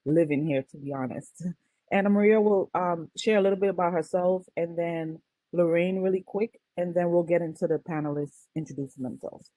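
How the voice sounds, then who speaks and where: A woman from Grenada in her thirties co-hosts a panel, talking in a relaxed and friendly way with plenty of fillers. Her voice sits a little low and sounds dry and close, with no room around it. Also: normal speed, dry recording, poor audio. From Grenada, Caribbean. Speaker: co-host. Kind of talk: casual panel hosting.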